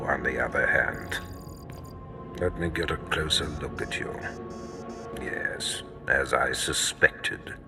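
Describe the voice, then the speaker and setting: An actor playing a stern, contemptuous Sith master in a video game, cold and deliberate as he lectures. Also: low voice.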